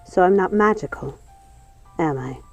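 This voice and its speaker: A woman's voice: on the low side, lively intonation, moderate pace, darkish tone, dull audio, soft background music. A woman voicing a troubled, irritable witch's daughter from a storybook, plaintive and exasperated. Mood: doubtful. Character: troubled, irritable witch's daughter doubting her own magic.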